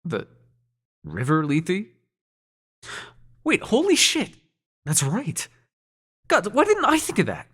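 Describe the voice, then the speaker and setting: A man's voice: high voice, huge pitch swings, takes it slowly, pauses often, a bit bright, slightly rough, no room sound, clean studio sound, heavily acted. A man playing a cocky, sarcastic demon prince with a tender side in an intimate audio roleplay, speaking casually with teasing and swearing. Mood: excited, urgent. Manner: casual, sweary, teasing, hesitant when sincere.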